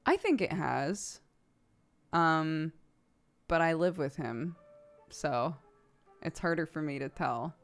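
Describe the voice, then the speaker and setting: Giggly chatty woman streamer, lively pitch. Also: takes it slowly, pauses often, faint music behind. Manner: chatty, giggly, swears casually. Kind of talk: casual chat with stream chat.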